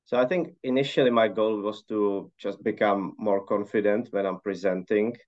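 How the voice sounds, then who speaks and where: Low-voiced man on a dull line. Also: moderate pace. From Czech Republic. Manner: relaxed, hesitant with many fillers. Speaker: interview guest. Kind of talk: casual conversational answers.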